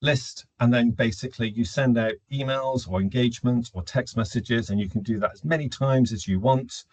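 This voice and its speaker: Husky man presenting a business webinar. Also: mid-range voice, moderate pace, a little mellow, no echo. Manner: explanatory, conversational, opinionated, asks and answers own questions. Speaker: webinar presenter, recruitment automation consultant.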